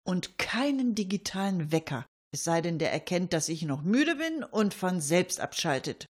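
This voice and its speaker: A woman's voice: on the low side, sing-song, moderate pace. A woman hosting a radio show, giving humorous scripted commentary with wry, dry humour.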